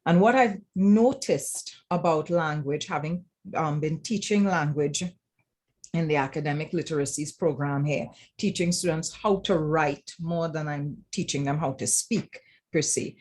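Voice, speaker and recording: Low-pitched Trinidadian woman, reflective speaker. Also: measured pace, darkish tone. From Trinidad and Tobago. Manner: reflective, explanatory, checks with "right?". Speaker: academic writing lecturer, panel speaker. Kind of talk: informal roundtable presentation.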